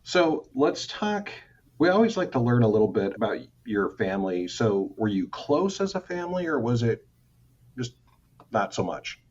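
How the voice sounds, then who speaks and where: Lively-voiced man hosting a podcast. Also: mid-range voice, normal speed, breathy edge, muffled recording. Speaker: podcast host. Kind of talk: podcast interview with scripted intro.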